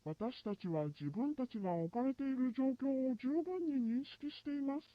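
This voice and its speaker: Dull lo-fi tape, high-pitched woman as researcher. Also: lively intonation, quick pace, dry recording. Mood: formal, detached. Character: formal female researcher reporting on a captured monster. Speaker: narrator of a found research tape. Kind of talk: formal scripted report.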